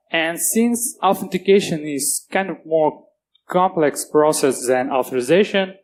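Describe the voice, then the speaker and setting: A man with a Russian accent giving a technical security talk on a conference stage, earnest and plain-spoken, with halting restarts. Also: fairly high pitch, low-quality recording. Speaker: conference speaker.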